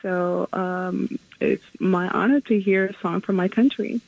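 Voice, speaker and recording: A woman's voice: mid-range voice, steady tone, measured pace, vocal fry, a little mellow, breathy edge, dry recording, dull audio. A woman from Haiti who is a guest on a radio show and answers hesitantly, with many ums. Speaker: guest artist. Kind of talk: interview answers.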